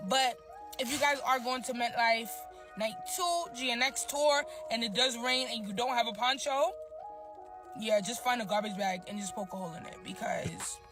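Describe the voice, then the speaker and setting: A woman in casual chat, telling a story in an animated, slangy, expressive way. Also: on the high side.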